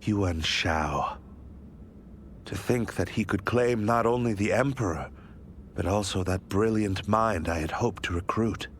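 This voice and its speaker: An actor playing a stern, ambitious warlord in a video game, grave and commanding in formal speech. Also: male voice, lowish voice, unhurried, a little hiss or hum, lightly acted. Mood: grave, resolute. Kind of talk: dramatic video game cutscene acting.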